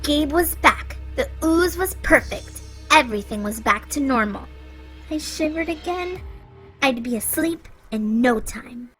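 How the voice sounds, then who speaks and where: A woman reads a children's picture book aloud, playful and expressive. Her voice is high and bright, swinging widely in pitch. Quiet music plays behind her. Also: a little slow, low-quality recording. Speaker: children's story reader. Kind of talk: read-aloud storytelling.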